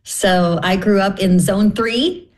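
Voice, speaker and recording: Candid middle-aged woman from Canada, mid-pitched. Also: slow, darkish tone. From Winnipeg, Canada. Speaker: interview guest. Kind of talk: personal storytelling in conversation.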